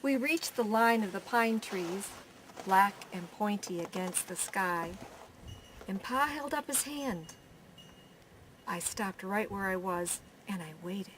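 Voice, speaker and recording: A woman storyteller reading a children's picture book aloud, with measured phrasing, pauses and drawn-out words. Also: mid-range voice, expressive, fairly bright tone, rough recording. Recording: faint background noise.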